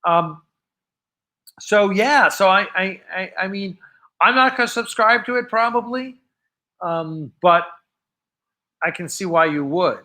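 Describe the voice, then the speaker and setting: A man with an American accent hosts a casual livestream in a high, bright voice. He talks off the cuff, rambling and halting, often letting a thought trail off before starting on the next. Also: lively intonation. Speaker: host of a live fan show. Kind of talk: casual unscripted livestream chat.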